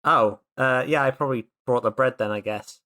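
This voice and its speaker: A man of medium pitch narrates his own moves in a tabletop game. He is casual and playful, feeling his way through sentences as he improvises. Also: moderate pace, sharp tone. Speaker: tabletop roleplay player.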